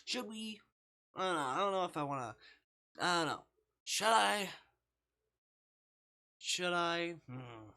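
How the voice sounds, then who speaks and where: A man's voice: sits high, animated, slow, vocal fry, pauses often, bright, forceful tone. A man live-streaming a game, reading a visual novel aloud dramatically with playful asides.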